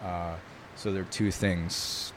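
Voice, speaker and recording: A man's voice: deep voice, level tone, slow pace, noisy background. A man from New York who grew up speaking Italian first, a guest talking casually in an interview, thoughtful, with many fillers. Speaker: guest, knife maker.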